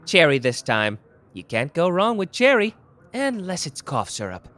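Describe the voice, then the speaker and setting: A man voicing a sharp, playful young male detective in a listener ASMR roleplay, teasing one moment and grave the next. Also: fairly high pitch, huge pitch swings. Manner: quick, teasing, theatrical shifts between cheeky and grave.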